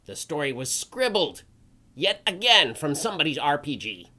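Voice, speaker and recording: A performer voicing an indignant, theatrical crow puppet in a dramatic reading, pompous and scornful with drawn-out emphasis. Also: medium pitch, very animated, bright, forceful tone. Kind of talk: dramatic reading of an old forum post.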